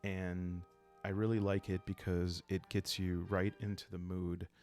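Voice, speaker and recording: A man hosting a solo role-playing game channel, explaining in a calm, unhurried ASMR style with halting, reflective phrasing. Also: deep voice, soft background music.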